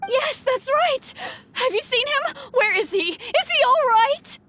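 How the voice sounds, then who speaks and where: A woman's voice: extremely high pitch, expressive, measured pace, pushed and bright, heavily acted. A frantic worried mother. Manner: anxious, pleading, gushing thanks.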